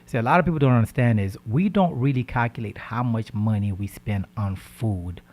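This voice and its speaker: A man hosting a radio talk show, giving casual diet advice. He speaks with lively energy, repeats words, and keeps checking in with "right?". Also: on the low side, fairly quick, slight background noise. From Haitian background. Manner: animated, repeats words, asks "right?" often.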